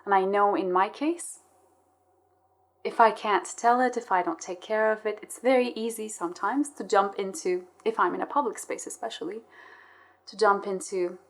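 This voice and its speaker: A woman's voice: medium pitch, moderate pace. A woman interviewing on a podcast in a conversational style, sharing personal examples.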